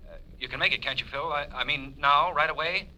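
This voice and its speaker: A man's voice: a little high, fast, pushed and bright, poor audio. An actor playing an anxious, ambitious businessman in his thirties, hesitant and urgent, in a 1940s radio drama. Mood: nervous pleading. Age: 30s. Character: anxious, ambitious young businessman in a blackmail jam.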